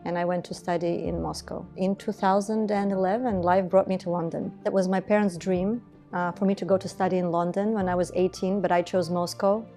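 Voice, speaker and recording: A woman's voice: on the low side, fairly even intonation, fairly quick, hardly any pauses, mellow, soft background music. A woman, speaking earnestly and reflectively as a business founder in a documentary interview. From Estonia. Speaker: entrepreneur, beauty business founder.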